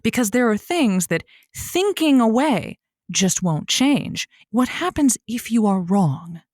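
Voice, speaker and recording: A woman playing a frank, folksy and wry advice host on a comedy call-in show, with measured, pointed phrasing. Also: a little high, very animated, no echo, clean studio sound, full character voice. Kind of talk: improvised comedy radio advice talk.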